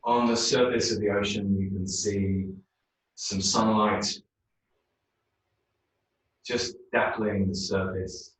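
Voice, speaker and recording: Soothing, slow-speaking male yoga teacher. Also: on the low side, steady tone. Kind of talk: guided meditation in a yoga class. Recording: very echoey room, mic far off.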